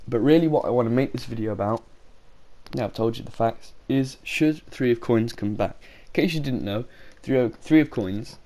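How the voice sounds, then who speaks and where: A man's voice: medium pitch, moderate pace, dark tone. A man with a sore throat, talking casually about a game in his own video and often correcting himself. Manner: rambling, frequent self-corrections. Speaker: gaming YouTuber.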